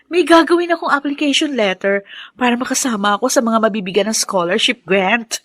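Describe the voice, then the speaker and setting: A woman's voice: fairly high pitch, brisk, no echo, studio quality, natural voice. A woman with a Filipino accent playing a playful, cheeky nineteen-year-old daughter in a radio drama.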